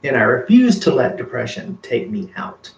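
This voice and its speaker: A man's voice: medium pitch, wildly swooping pitch, normal speed, echoey room, lo-fi sound. A man casually chatting to his livestream viewers, rambling and earnest with many fillers. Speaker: makeup vlogger.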